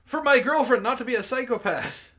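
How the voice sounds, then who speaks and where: A man's voice: very high voice, a little fast, pushed and bright. A man hosting a book club stream, reading aloud and breaking into exasperated, incredulous asides in casual jokey banter.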